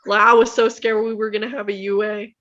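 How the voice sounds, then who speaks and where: A woman's voice: medium pitch, flat delivery, a little fast, fairly bright tone. A woman in her thirties talking candidly about her past in a casual chat. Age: 30s. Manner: candid, open about personal history. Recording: dull lo-fi mic.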